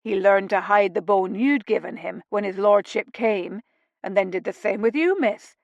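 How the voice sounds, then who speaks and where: A gruff older Scottish stable master, loud and blunt with a fond streak, as a woman reads him aloud. He talks in broad dialect, close and dry with no room around him. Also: medium pitch, normal speed, dry recording, muffled recording, close to an everyday voice. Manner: blunt, folksy, dialect-heavy speech.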